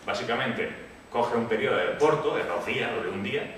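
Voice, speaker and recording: A man's voice: mid-range voice, fairly quick. A man from Córdoba with a slight Andalusian accent, giving a casual programming talk to a meetup audience and checking in with them often. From Córdoba, Spain. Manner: relaxed, conversational, frequent "vale?" check-ins. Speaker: tech meetup speaker. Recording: echoey room, distant mic, noisy.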